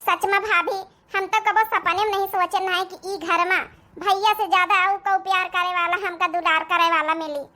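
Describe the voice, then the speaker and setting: Voice actor as meek young cartoon village woman, Awadhi accent, extremely high, bright, plaintive, affectionate. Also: fairly even intonation, moderate pace. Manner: plaintive, naive.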